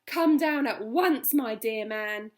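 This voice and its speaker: A woman voicing an excitable middle-aged lady who squeals with joy about her tortoise. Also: on the high side, slow, pitched above the performer's own voice. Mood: gushing.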